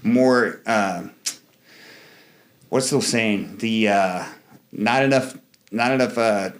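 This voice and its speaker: Rambling man with filler-heavy speech. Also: takes it slowly. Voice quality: fairly high voice for a man. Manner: rambling, many fillers and restarts, acts out quoted dialogue. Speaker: interview guest, Marine veteran. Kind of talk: casual interview talk.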